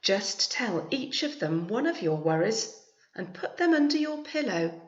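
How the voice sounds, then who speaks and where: A woman voicing a kindly, reassuring old grandma in a storybook, speaking slowly and with comforting emphasis. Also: on the high side, big pitch swings. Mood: gentle explaining. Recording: echoey room, slightly muffled sound.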